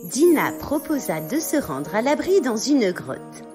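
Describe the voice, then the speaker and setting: A woman's voice: on the high side, sing-song, unhurried. A woman narrating a scripted children's story as a storyteller. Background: music.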